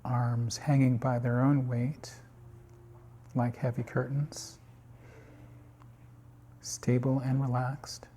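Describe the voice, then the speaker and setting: A man guiding a meditation in calm, unhurried short phrases with long pauses, his voice slightly husky. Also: medium pitch, a little hiss or hum. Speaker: meditation guide. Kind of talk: guided body scan meditation.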